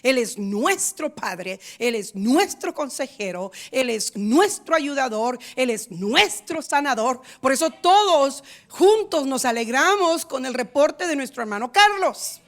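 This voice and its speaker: A woman pastor preaching a sermon in a rhythmic, repetitive style, moving between loud stressed lines and quiet asides. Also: high voice. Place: church in San Diego.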